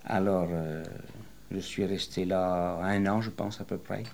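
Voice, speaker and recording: Deep-voiced man in his sixties reminiscing. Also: steady tone, vocal fry, a little mellow, slight background noise. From western France. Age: 60s. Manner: rambling, hesitant storytelling with many pauses. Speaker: retired interviewee. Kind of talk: oral history interview.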